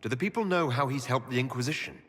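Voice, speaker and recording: An actor playing an earnest, loyal leader of a fantasy game order, with a sincere and direct way of talking. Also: male voice, mid-range voice, animated, fast, fairly bright tone, big-room sound, close to an everyday voice. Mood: firm, reassuring. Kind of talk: scripted fantasy game dialogue.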